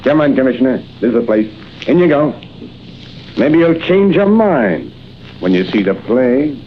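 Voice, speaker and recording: A man's voice: mid-range voice, big pitch swings, normal speed, close to an everyday voice. An actor playing a mocking, sarcastic gang henchman in a 1940s radio drama, on a muffled old recording with hiss. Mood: taunting. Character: smooth taunting male gang henchman.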